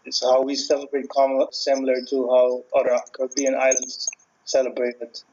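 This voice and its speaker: A man's voice: mid-range voice, completely flat delivery, fairly quick, a little mellow, muffled recording, a little hiss or hum. A man from Aruba who grew up multilingual, giving matter-of-fact interview answers.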